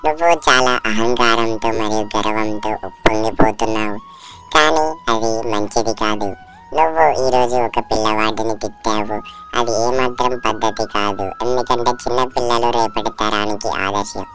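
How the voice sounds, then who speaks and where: A performer playing a solemn deity who gives gentle moral advice in a cartoon story, recorded at a distance. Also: medium pitch, normal speed, soft background music, natural voice. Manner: solemn, advising. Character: gentle admonishing deity in human form.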